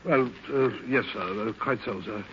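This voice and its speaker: An actor playing a timid, deferential, dithering manservant in an old radio drama, mumbling and hesitant. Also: male voice, on the low side, moderate pace, fairly bright tone, close to an everyday voice. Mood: flustered, deferential. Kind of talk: old radio comic-relief acting. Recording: hissy, muffled old radio recording.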